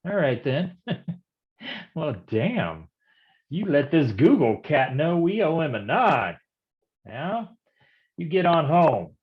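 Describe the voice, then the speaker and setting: An actor playing a hard-boiled male private detective in a noir staged reading, gruff and wisecracking in old-time gumshoe slang. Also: on the high side, sing-song, slow pace, pauses often, lo-fi sound. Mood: gruff, protective.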